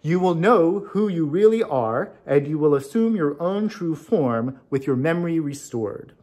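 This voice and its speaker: A man's voice: a little high, expressive, measured pace, mellow, voice pushed up. A man voicing a mysterious unseen man in a storybook, emphatic and commanding in manner. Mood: solemn pronouncement.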